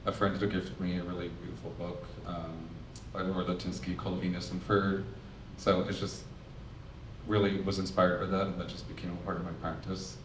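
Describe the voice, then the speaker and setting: A man speaking as an artist on a gallery panel, nervous and halting with many fillers. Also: low voice, flat delivery, noisy background. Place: art gallery. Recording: echoey gallery, mic far off.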